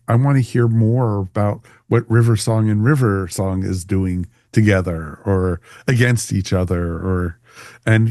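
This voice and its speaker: A man's voice: lowish voice, dark tone, no echo, studio quality. A man co-hosting a podcast, chatting casually and enthusiastically in an informal fan review. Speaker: podcast co-host.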